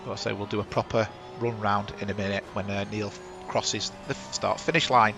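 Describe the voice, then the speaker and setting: A man's voice: lowish voice, fairly even intonation, normal speed, gravelly, over music. A chatty man hosting a sim racing stream on a headset, talking over the action and correcting himself. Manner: chatty, rambling, self-correcting. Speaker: sim racing broadcast host. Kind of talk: live race commentary.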